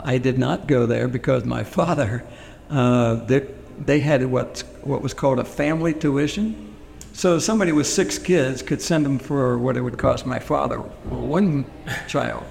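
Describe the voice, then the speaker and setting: A gravelly-voiced man from Pennsylvania, raised speaking Pennsylvania Dutch, answers questions about his life in an oral history interview. He hesitates a lot, filling pauses and restarting sentences. The recording carries a long reverb and a faint hiss. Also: medium pitch, a little mellow. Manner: hesitant, many fillers and restarts.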